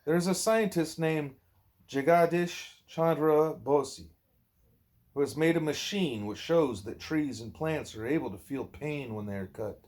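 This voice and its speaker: A man's voice: a little high, measured pace, a little mellow. Man reading a spiritual book aloud. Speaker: book reader, parent. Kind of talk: home read-aloud of a spiritual dialogue.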